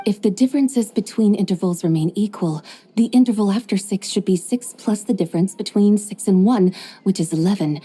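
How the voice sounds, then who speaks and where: A woman's voice: mid-range voice, mellow, breathy edge, lightly acted. A woman playing a coolly logical formal traveler. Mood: composed. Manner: measured, formal, precise reasoning. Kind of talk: video game voice acting.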